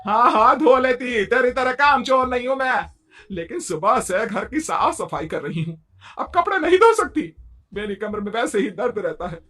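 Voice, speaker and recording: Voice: mid-range voice, lively intonation, normal speed, pushed and bright. A performer voicing a quarrelsome, martyred mother-in-law who scolds and complains in a Hindi moral-story cartoon. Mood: scolding.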